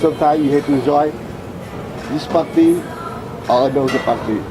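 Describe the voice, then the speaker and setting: An older man from Vietnam, a former air force officer, recounting his past in an interview with halting speech full of fillers and tag questions. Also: fairly high pitch, music in the background, low-quality recording. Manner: halting, many fillers and "you know, right?" tags. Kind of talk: oral history interview.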